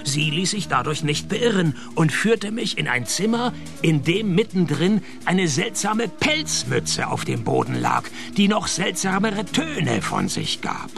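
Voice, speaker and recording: An actor playing a grumpy, world-weary private detective in a comic radio play, with dry, sarcastic wit. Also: male voice, sits high, huge pitch swings, unhurried, gravelly, over music, heavily acted. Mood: wry narration. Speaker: detective narrator. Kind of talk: comic radio play acting and first-person noir narration.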